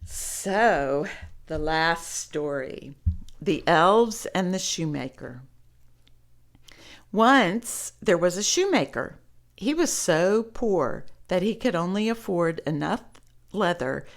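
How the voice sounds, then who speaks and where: A woman reading stories aloud to children in an expressive, conversational way, as if talking directly to young listeners. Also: on the low side, slow, vocal fry. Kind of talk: read-aloud storytelling with chatty commentary.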